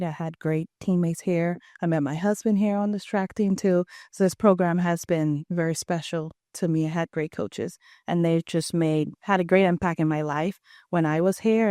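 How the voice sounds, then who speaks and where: A young woman from the Bahamas with a Bahamian accent, a track coach answering interview questions in an earnest, steady way. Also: on the low side, no room sound, studio quality. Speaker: head track and field coach, guest.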